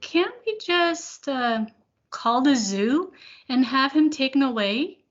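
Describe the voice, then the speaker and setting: A reluctant, unimpressed young storybook boy voiced by a woman drags out his words very slowly. He sounds grudging. The recording is slightly muffled. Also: sits high, big pitch swings, heavily acted. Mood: nervous, reluctant. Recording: dull lo-fi recording.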